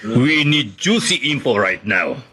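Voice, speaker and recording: An old, commanding political schemer in a Filipino radio drama. His voice is rough and sharp, with a Filipino accent, and he bosses with calculation. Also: medium pitch, very animated, takes it slowly, full character voice. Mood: commanding, scheming. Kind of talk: Tagalog radio drama acting.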